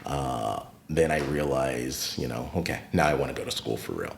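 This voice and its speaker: A middle-aged American man, a podcast guest and retired teacher, telling stories of his life in an unhurried, reflective way that turns lively when he recounts encounters. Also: rumbling low, vocal fry, slight background noise. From United States. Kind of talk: casual interview storytelling. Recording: roomy echoey room.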